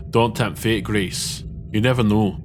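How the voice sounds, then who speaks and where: A man's voice: on the low side, slow, music in the background. Man playing an easygoing, teasing starship crew chief. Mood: wry, casual. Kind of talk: sci-fi audio drama acting.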